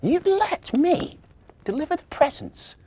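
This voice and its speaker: A man's voice: very high voice, sing-song, a little slow, dark tone, slight background noise, voice pushed up, exaggerated voice. A man voicing a clumsy, tearful but eager young female Christmas elf in a children's story, with a plaintive, wide-eyed manner. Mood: hopeful, surprised.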